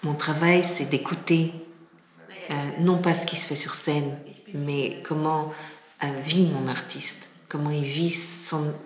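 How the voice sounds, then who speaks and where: A woman with a slight, hard-to-place accent in French, giving reflective interview answers haltingly, often pausing to search for words. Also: deep voice. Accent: slight, hard-to-place foreign accent in French. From France. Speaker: classical music impresario being interviewed. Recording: roomy, echoing indoor sound.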